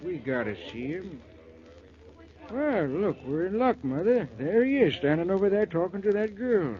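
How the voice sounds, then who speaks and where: An actor with an American accent playing a folksy, eager husband in a 1940s radio drama. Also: male voice, high voice, big pitch swings, normal speed. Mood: eager, determined. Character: folksy, eager husband driving an old Model T. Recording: muffled 1940s radio recording.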